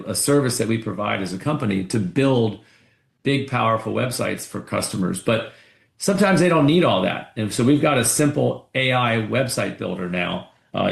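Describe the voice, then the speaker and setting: A man speaking as a company executive on an earnings call, pitching products conversationally with frequent restarts and repeated words. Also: gravelly, roomy sound.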